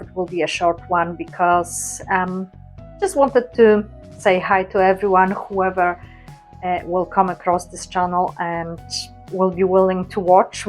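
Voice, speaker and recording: A young adult woman from Poland with a Polish accent, hesitantly introducing herself in a casual vlog with many fillers. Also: fairly low pitch. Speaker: YouTuber.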